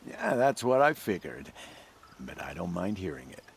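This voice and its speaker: An actor voicing a gruff, wary outlaw trader and former outcast in a video game, laconic and guarded. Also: male voice, sits low, very animated, normal speed, exaggerated voice. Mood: dry, guarded. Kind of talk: video game dialogue acting.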